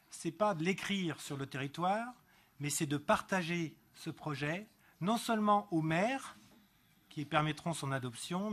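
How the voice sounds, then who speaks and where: A man speaking as an elected councillor, giving a prepared political speech in a deliberate, didactic manner with emphatic repetition. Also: a little high, fairly bright tone. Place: council meeting.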